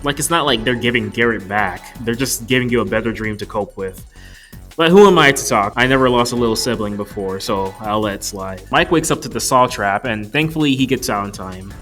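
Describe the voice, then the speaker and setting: A man's voice: on the low side, animated, fairly quick, a bit bright. Casual male reviewer over music. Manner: wry, profane, mock-exasperated. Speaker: movie reviewer. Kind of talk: casual video review narration with jokes.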